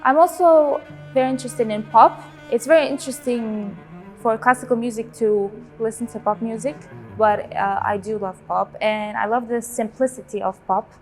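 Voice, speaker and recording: A woman in her twenties, born in Syria, a cellist and teacher speaking in a relaxed interview, with many pauses and fillers. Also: fairly high pitch. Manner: hesitant, many fillers, warm about music. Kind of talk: relaxed personal interview answers.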